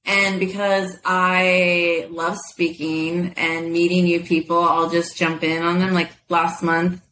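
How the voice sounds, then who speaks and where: A woman's voice: lowish voice, flat delivery, slow pace, fairly bright tone, a little hiss or hum, low-quality recording. Chatty woman, Spanish first language. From United States. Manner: chatty, many fillers, laughs easily. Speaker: guest. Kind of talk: casual interview.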